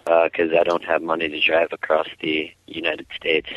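A very low-voiced man on a dull, lo-fi phone connection, chatting casually as a caller. He speaks haltingly and often repeats his words. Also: completely flat delivery, dry recording. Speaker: caller to a call-in show. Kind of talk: casual chat. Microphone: phone call through internet voice service. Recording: muffled lo-fi internet phone line.

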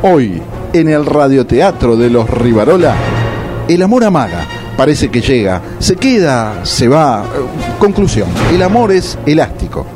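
A man's voice: mid-range voice, very animated, unhurried. A man with a Rioplatense accent narrating a comic radio drama in a playful, dramatic announcer style, over faint music. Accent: Rioplatense Spanish. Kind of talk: scripted narration with a theatrical lilt.

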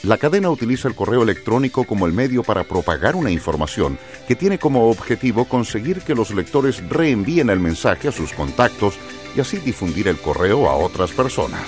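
Deep, animated male narrator. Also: brisk. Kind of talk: scripted educational narration. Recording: music bed under narration.

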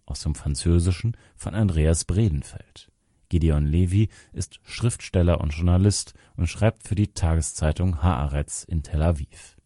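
A man's voice: low voice, measured pace, mellow, dry recording, clean studio sound. A man reading a scripted political commentary as narration. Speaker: reader of a translated article.

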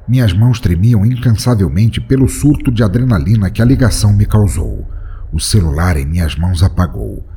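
A man narrates a first-person horror tale with a dramatic, tense delivery. His deep, dark voice is very husky. His pitch moves freely with expression. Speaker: narrator and podcast host.

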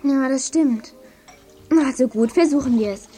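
A woman's voice: high-pitched, a little slow, mellow, breathy edge, soft background music. An actress playing a frank, sensible young girl in a German children's audio drama, plain-spoken and reasoning. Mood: skeptical, worried.

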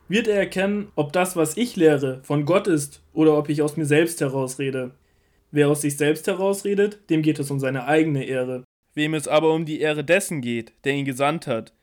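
A man playing an earnest, commanding male teacher preaching in an audio drama, declamatory and deliberate. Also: a little high, darkish tone, lightly acted.

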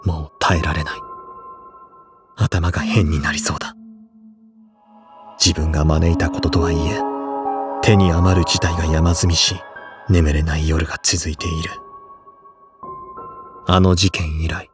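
An actor playing a serious, restrained and tormented high-school boy in a Japanese audio drama, speaking in a solemn, measured way. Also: level tone, over music. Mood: anguished. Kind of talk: Japanese audio drama acting, inner monologue and dialogue.

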